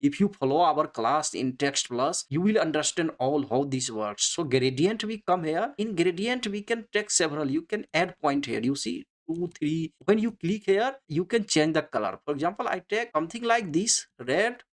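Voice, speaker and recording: A software tutorial instructor narrating unscripted step-by-step instructions in halting, repetitive English with non-native phrasing. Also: mid-range voice, animated.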